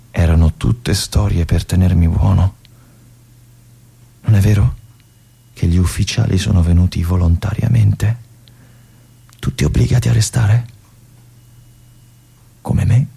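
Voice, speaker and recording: An actor playing a disillusioned young army lieutenant at a remote fortress, speaking to his colonel in a confiding, pleading monologue with weighty pauses. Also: deep voice, gravelly, dark tone, slightly rough, full character voice. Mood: bitter. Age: young adult. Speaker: stage actor. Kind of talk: theatrical monologue addressed to a colonel.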